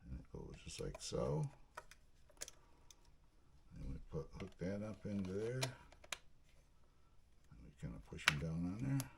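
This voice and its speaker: A man giving a casual, halting hands-on unboxing commentary, muttering to himself as he works. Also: sits low. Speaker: video host, product unboxer. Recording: clear background noise, handling clicks.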